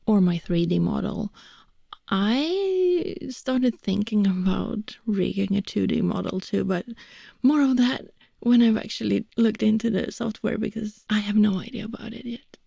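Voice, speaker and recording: A woman from Sweden with a Swedish accent, English not her first language, rambling in a soft whisper as an ASMR voice actor close to the mic. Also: medium pitch, vocal fry, no room sound.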